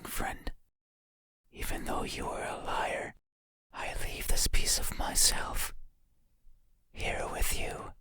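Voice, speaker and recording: An actor voicing a creepy, intimate bedside monster in a horror game, pleading and menacing in a breathy, insistent whisper. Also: sharp tone. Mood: mournful, eerie. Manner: slow, insistent, eerily deliberate.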